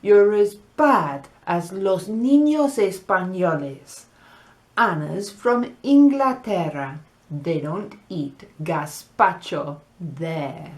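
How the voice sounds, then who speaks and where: Woman as a slow, scolding old grandmother. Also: on the low side, lively intonation. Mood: chiding. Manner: slow, firm, chiding.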